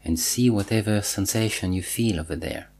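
A man guiding a body scan meditation, giving measured instructions in short phrases with pauses and repetition. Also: fairly low pitch, a little mellow, breathy edge. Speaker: meditation teacher.

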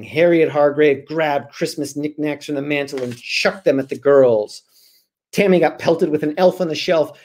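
An American man in his forties, a children's author with an animated, theatrical way of talking, on a video call from home. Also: a little high. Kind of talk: dramatic storybook reading and casual chat. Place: small room at home. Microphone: laptop mic on video call.

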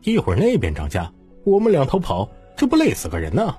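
Man playing a husky, grumbling townsman. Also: medium pitch, wildly swooping pitch, a little fast, mellow, faint music behind, voice pushed up, heavily acted. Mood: exasperated. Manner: grumbling, exclamatory. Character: exasperated, complaining male townsfolk.

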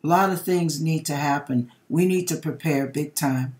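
A woman's voice: deep voice, normal speed, a little mellow. A middle-aged woman with an American accent reading aloud dramatically and talking directly to viewers in a theatrical, emphatic way, drawing out words, in a home kitchen. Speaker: host reading viewers' dream accounts.

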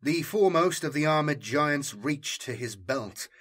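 Husky male audiobook narrator. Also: on the high side, expressive, measured pace, a bit bright. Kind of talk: scripted dramatic narration of military sci-fi.

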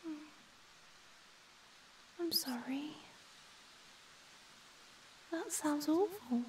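A timid young ghost girl, acted by a woman in an ASMR comfort roleplay. Her voice is high, soft and mellow, often sinking to a breathy whisper. She is hesitant and tender, heard a little way from the mic over a soft hiss. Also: far from the mic, poor audio.

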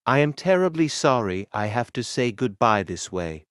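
A man's voice: medium pitch, big pitch swings, moderate pace, smooth, no echo, clean studio sound, lightly acted. A man playing a sombre survivor speaking plainly and seriously to a comrade in an amateur audio drama. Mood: resigned farewell. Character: sombre male survivor radioing his comrade.

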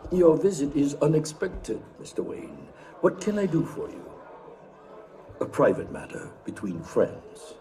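Older casino crime boss, dark mellow voice, very lively intonation, polite but slippery, formal, game cutscene. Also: male voice, fairly high pitch. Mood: polite, guarded. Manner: formal, measured, politely evasive. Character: smooth, cagey older male crime boss and casino owner. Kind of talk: video game cutscene acting.

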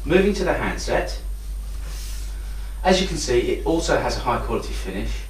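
A man's voice: medium pitch, big pitch swings, normal speed, slight background noise. A man giving an instructional product demonstration. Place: indoor room with hard walls. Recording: roomy echo, distant microphone.

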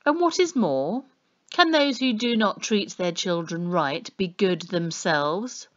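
A woman's voice: mid-range voice, animated, slow pace. Humble storybook woman acted by a female reader, earnest, imploring, deferential, lo-fi recording. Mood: pleading. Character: earnest, pleading, humble woman seeking lost boy.

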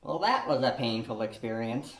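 A man's voice: mid-range voice, normal speed, noisy background, close to an everyday voice. An older man playing Elmer, an earnest, rueful husband, in an amateur radio play, reading plainly and wistfully into a stand mic. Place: small studio with a draped backdrop. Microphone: stand mic at chest height. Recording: echoey room.

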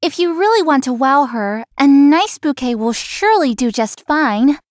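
A woman's voice: high voice, expressive, normal speed, dry recording, clean studio sound. A woman voicing a young, friendly but world-weary florist in an anime-style romance game. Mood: polite, helpful. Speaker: voice actor in a visual novel. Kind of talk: scripted anime-style game dialogue and inner monologue.

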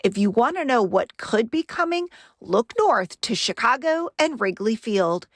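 Crisp woman news reporter, lively pitch. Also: no room sound, clean studio sound. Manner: crisp, measured news delivery. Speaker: investigative reporter. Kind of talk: news package narration. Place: outdoors beside a parking garage.

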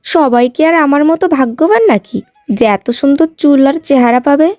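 A woman's voice: sits high, a little fast, darkish tone, dry recording, studio quality, natural voice. A woman voicing a vain, haughty, petulant young village woman in a cartoon moral tale. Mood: smug, scornful. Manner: boastful, petulant, complaining.

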